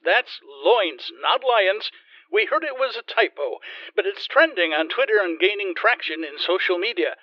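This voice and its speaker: An actor playing a smirking local sports newscaster in a parody bulletin, heard through a radio. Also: male voice, sits high, no echo. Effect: radio filter. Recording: dull lo-fi radio sound, very dry.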